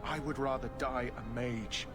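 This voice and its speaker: A man's voice: medium pitch, steady tone, unhurried, loud music behind the voice, lightly acted. A man voicing a weary captive mage in a video game. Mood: anguished, pleading. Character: tranquil captive mage, flat, weary, pleading. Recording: game audio with clear background noise.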